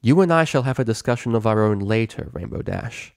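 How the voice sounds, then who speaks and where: A man's voice: on the low side, expressive, a little fast, darkish tone, no room sound, studio quality. A man voicing a stern, regal female pony ruler and mentor in a story reading. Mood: angry, stern. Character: stern regal mare princess, mentor ruler, angry then motherly.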